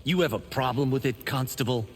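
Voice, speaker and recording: An actor voicing a boastful, vain young monk in a video game. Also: male voice, medium pitch, fairly quick, music in the background, close to an everyday voice. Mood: cocky.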